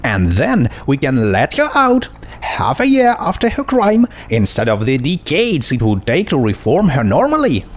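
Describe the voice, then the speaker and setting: A man's voice: mid-range voice, wildly swooping pitch, normal speed, pitched above the performer's own voice. A man voicing a self-righteous young female pony princess in a storybook reading, earnest, gushing and pedantic. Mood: smug, matter-of-fact. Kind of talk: storybook character voice in fan fiction reading.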